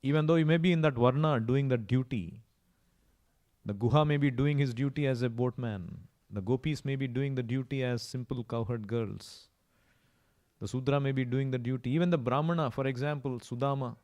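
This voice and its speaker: A man's voice: medium pitch, fairly quick, darkish tone. Indian male preacher, emphatic storyteller. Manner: emphatic, repetitive, rhetorical questions. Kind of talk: sermon with storytelling.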